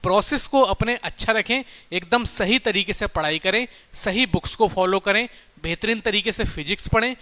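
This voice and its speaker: A man speaks as an exam coach. His voice is high and sharply bright. He talks informally but emphatically, repeating key points as he urges students on. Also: normal speed. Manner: emphatic, repetitive, exhorting, addressing listeners as brothers and friends. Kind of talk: informal exam update and motivational coaching talk.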